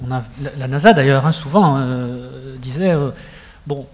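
A man's voice: medium pitch, normal speed, a little hiss or hum. A middle-aged man hosting a studio interview, speaking conversationally and steering the talk with questions into a handheld mic. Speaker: host. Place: studio set with screens.

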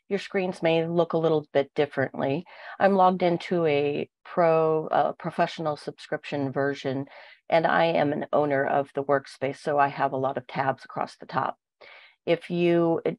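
A woman with a cold, sounding nasal, walking through software on a screen share with plenty of fillers. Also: deep voice, dry recording. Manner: steady explaining with frequent fillers. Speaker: product trainer. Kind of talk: software walkthrough.

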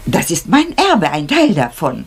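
An actress playing a humble, excitable female cook in a German radio crime drama, deferential and artless in manner. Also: deep voice, measured pace, husky, exaggerated voice. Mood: friendly, amused.

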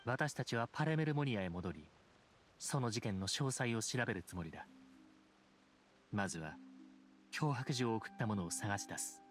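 An actor playing a dignified, aloof judge in a game, speaking in a formal, measured, reserved way. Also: male voice, on the low side, lively intonation, faint music behind, natural voice. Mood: grave, measured. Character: solemn, dignified supreme judge, an aloof grown man. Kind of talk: Japanese game voice acting.

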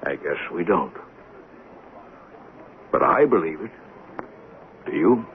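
Insistent witness in tinny radio drama. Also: male voice, sits low, animated, slow pace, full character voice. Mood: intent, explaining. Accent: American. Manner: insistent, dramatic step-by-step recounting. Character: agitated male eyewitness reasoning out a poisoning. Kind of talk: 1970s American radio drama acting. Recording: tinny old radio broadcast, faint noise.

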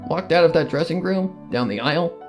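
A man's voice: fairly high pitch, brisk. A man reading a scripted story in the first person as a dramatic narration. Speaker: fan fiction narrator. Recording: guitar music behind the voice. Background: guitar and plucked string music.

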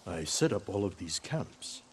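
An actor playing a humble, helpful middle-aged monk in a video game, calm and deferential. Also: male voice, low voice, measured pace, a little hiss or hum. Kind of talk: dramatic video game acting.

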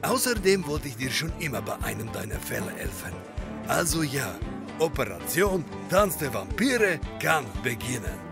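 A hearty, animated immigrant barkeeper. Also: male voice, medium pitch, normal speed, gravelly, music in the background. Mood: game, hearty. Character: hearty, adventurous Polish immigrant male barkeeper. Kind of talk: occult comedy audio drama acting.

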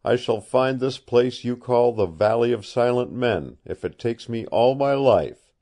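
A passionate young man swearing a vow with fierce, almost savage fervor, played by a male narrator. The voice is raised somewhat above the reader's usual low tone. It has a storybook feel. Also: measured pace, vocal fry, mellow. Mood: fervent. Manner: vehement, fervent.

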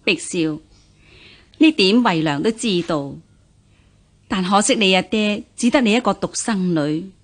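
An actress playing a stern but caring middle-aged mother in a historical radio drama, measured and dignified, with a Hong Kong Cantonese accent. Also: mid-range voice, expressive. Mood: grave, regretful. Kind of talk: radio drama acting in historical costume piece.

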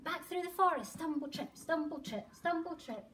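A woman in her thirties reading a picture book to young children in an expressive, exaggerated way with drawn-out words. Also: high voice, a little hiss or hum. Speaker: children's storyteller, early years educator. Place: indoor nursery classroom. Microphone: camera or phone a few metres away.

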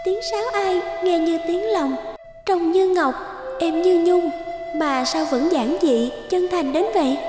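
A woman voicing a gentle, lovestruck young noblewoman in a fairy-tale cartoon. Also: very high-pitched, measured pace, over music. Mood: dreamy, longing.

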